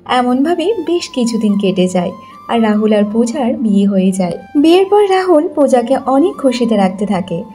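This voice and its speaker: Narrator, female: high mellow voice, scripted moral-story storytelling, light music underneath.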